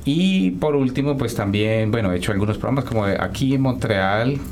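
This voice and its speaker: A middle-aged Colombian man answering as a guest in a radio interview, halting and correcting himself as he talks. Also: medium pitch, expressive, darkish tone, slight background noise. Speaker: guest, writer and journalist.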